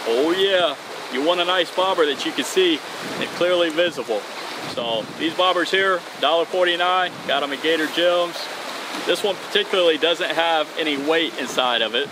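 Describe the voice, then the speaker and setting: A man in his thirties hosting an outdoor fishing tutorial, talking casually and upbeat as he works with his hands on a bridge over open water. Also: on the high side. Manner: upbeat, casual, talks while tying knots. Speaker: fishing channel host. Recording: outdoor recording with wind and water noise. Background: wind and waves.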